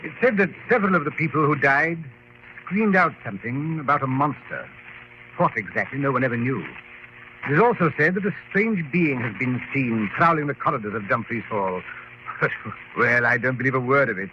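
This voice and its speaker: An actor playing a confident, scornful aristocrat with breezy diction in an old radio drama. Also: male voice, medium pitch, expressive, a little fast, natural voice. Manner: hearty, breezy, old-fashioned upper-class diction. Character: confident English aristocrat, jovially scornful of ghost legends. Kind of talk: 1950s radio drama acting. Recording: noisy lo-fi old radio recording.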